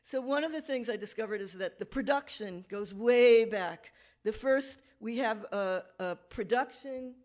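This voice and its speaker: A woman's voice: fairly high pitch, unhurried, a little mellow. A woman lecturer giving a conversational academic talk with slides, correcting herself and making asides to the audience.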